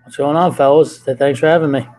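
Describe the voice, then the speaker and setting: New Jersey man telling stories loudly. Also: a little high, steady tone, fast, over music, low-quality recording. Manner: loud, eager storytelling with frequent restarts. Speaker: guest, sports journalist. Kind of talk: casual podcast chat. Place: home room, video call.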